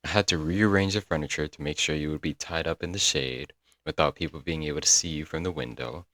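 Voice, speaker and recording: A man's voice: very deep voice, quick pace, fairly bright tone, no echo, studio quality. A man playing a doting, apologetic young yandere kidnapper in a comedic roleplay audio drama, coaxing and rambling intimately to the listener. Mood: sheepish, affectionate. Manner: coaxing, rambling, pet names, nervous chuckles.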